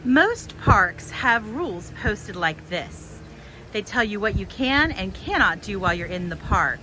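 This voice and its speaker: A woman hosting a children's storytime outdoors, telling things in simple, deliberate phrases for small children. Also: mid-range voice, sing-song, sharp tone, rough recording. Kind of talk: storytelling and guided talk for young children. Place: outdoors in a public park. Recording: outdoor park with faint background noise.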